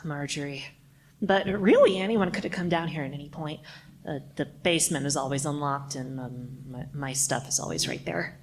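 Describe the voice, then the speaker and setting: A woman playing a nervous, slow-witted, apologetic janitor in a murder mystery radio play, flustered and stammering. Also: deep voice. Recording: noticeable background noise.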